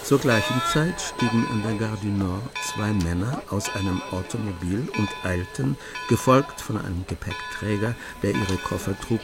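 A reflective writer who narrates a radio drama. His voice is fairly low and very slow, measured throughout. Music plays behind him. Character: reflective male writer telling a thief's adventures. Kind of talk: scripted narration.

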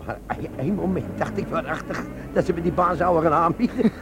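An actor playing a cheeky, scheming older army sergeant in a comic radio play, with a gravelly, colloquial delivery. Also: male voice, a little high, very animated, normal speed. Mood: conspiratorial. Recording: noisy old radio drama recording.

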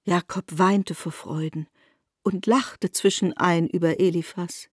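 A woman's voice: lowish voice, fairly even intonation, measured pace, a little mellow, slightly rough, no echo, clean studio sound. A woman narrating a literary radio drama with formal, articulate storytelling delivery.

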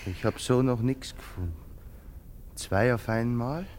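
An actor playing a poor, brooding soldier in a German radio play, humble, haunted and halting in his speech. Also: mid-range voice, darkish tone, a little hiss or hum, natural voice. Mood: suspicious. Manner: halting, earnest, deferential.

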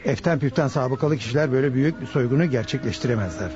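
An actor playing an authoritative investigator who gives orders in a Turkish radio drama. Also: male voice, medium pitch, very fast, close to an everyday voice. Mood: commanding. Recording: dull radio recording over music.

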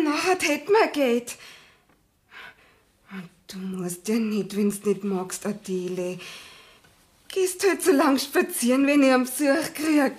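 An actress playing a naive, worried young woman in a radio play, hesitant and plaintive in Bavarian dialect. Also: high-pitched.

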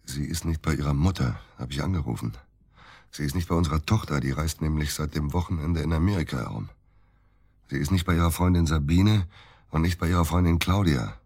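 An actor playing a disgruntled middle-aged provincial surgeon, grumbling and curt, in a naturalistic radio drama. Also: male voice, a bass voice, brisk, a little mellow, slightly rough, dry recording, studio quality, full character voice. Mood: worried. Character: disgruntled middle-aged provincial surgeon, worried about his missing wife.

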